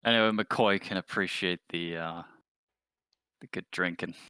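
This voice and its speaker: Deep voiced guy playing rpg, crisp and bright, laid back casual chat, dry mic. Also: unhurried, no echo. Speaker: player in a tabletop roleplaying game.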